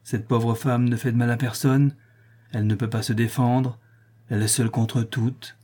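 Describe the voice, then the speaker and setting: A man voicing a gentle, pious young woman prisoner who speaks softly and pleads, in a husky voice. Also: medium pitch, moderate pace, close to an everyday voice. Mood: sad, bitter. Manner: soft, pleading, calm.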